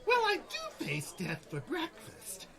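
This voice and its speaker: A woman's voice: very high voice, wildly swooping pitch, slow, fairly bright tone, some chatter behind, full character voice. A woman playing a scatterbrained, anxious gnome sorcerer in a comedy radio play, flighty and hesitant. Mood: wavering, musing.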